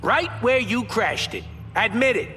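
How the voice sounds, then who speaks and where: A man voicing a gruff, trigger-happy space creature in a video game, sarcastic and needling. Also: very high-pitched, animated, a little slow, pushed and bright, soft background music, exaggerated voice. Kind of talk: video game character acting.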